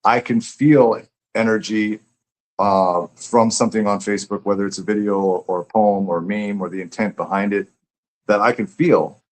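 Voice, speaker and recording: Lowish voiced guy, earnest poet guest chatting, repeats words while thinking out loud. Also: steady tone, normal speed, low-quality recording. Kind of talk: casual, reflective interview chat.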